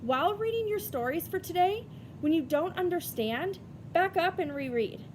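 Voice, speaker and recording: High-pitched, lively woman teacher, noisy recording. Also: moderate pace. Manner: instructional, explains step by step. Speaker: elementary school reading teacher. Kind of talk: read-aloud lesson for children. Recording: rumbly background noise.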